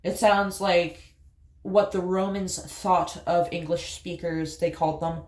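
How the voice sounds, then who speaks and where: A woman's voice: lowish voice, steady tone, slow. Appalachian woman rambling on while doing her makeup, lots of ums, kinda echoey room. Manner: rambling storyteller with laughs and fillers. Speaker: vlogger.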